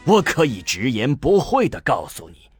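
A man's voice: mid-range voice, wildly swooping pitch, normal speed, darkish tone, slightly rough, heavily acted. A man playing a proud, angry old astronomer and university president in a theatrical, emphatic radio drama style. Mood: scornful, earnest. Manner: theatrical, indignant, emphatic delivery. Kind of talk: Chinese radio drama acting. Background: music.

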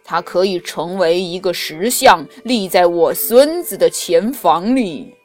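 A woman voicing the devil's wicked old grandmother in a children's storybook reading, speaking louder and a little lower than her own voice. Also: lively intonation, measured pace, faint music behind. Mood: greedy.

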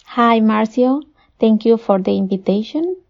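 A woman from Peru with a Spanish accent, a poultry nutritionist giving measured, formal, prepared answers as an interview guest. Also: on the high side, animated, creaky. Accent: Peruvian Spanish. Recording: dull, muffled lo-fi audio.

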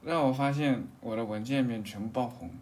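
A man chatting casually as a podcast co-host, telling a personal story in a halting way with repeated, restarted phrases. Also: medium pitch, darkish tone.

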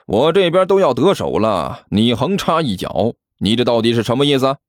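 A man voicing a brazen, stubborn, quick-witted hustler in an audiobook, arguing with sarcasm and sneers. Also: mid-range voice, big pitch swings, no echo. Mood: irritated.

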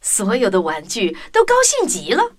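A woman hosting and narrating a children's audio story in an expressive scripted style, speaking directly to young listeners. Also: mid-range voice, normal speed, a crowd in the background, background noise. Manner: addresses children directly, animated.

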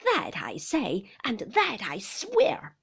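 A woman voicing a stiff loyal servant woman, blunt, emphatic, breathy storybook voice. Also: on the high side, wildly swooping pitch, slow pace, fairly bright tone, heavily acted. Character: stubborn loyal middle-aged female servant.

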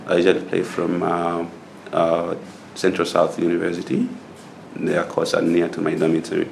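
A young man from Zambia, a master's student, answering mock speaking-test questions with frequent hesitant pauses. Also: low-pitched, completely flat delivery, creaky, a little hiss or hum. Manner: hesitant, many filler pauses. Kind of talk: unscripted interview answers.

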